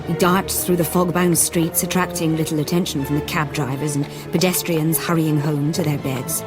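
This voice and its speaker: An actress as a composed storyteller, giving scripted dramatic narration for a gothic radio play. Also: female voice, sits low, fairly even intonation, moderate pace, lightly acted. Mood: suspenseful. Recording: loud music under voice.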